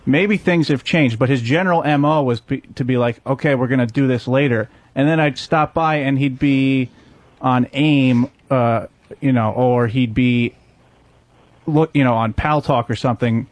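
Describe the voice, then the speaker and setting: A man who works on a radio show, talking casually and haltingly about work. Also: mid-range voice. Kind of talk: casual workplace talk.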